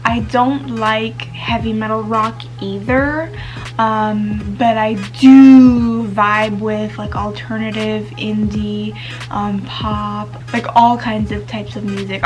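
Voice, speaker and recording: A teenage girl vlogging casually to camera, chatty and full of fillers, whose first language is Spanish. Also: on the high side. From United States. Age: teens.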